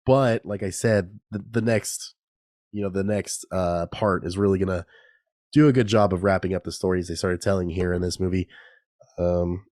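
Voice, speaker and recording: A man's voice: low voice, a little mellow, dry recording, studio quality. A young man hosting a casual solo podcast, congested and nasal from a cold, rambling and laughing at himself. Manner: rambling, self-mocking, laughs often. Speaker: podcast host.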